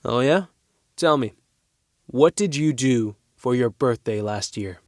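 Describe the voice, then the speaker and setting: A man's voice: mid-range voice, lively intonation, slow, a little mellow, dry recording. Man playing an earnest, guilt-ridden teenage boy, dry sound. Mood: pointed. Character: guilt-ridden working-class teenage boy, loyal and defensive. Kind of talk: audio drama dialogue.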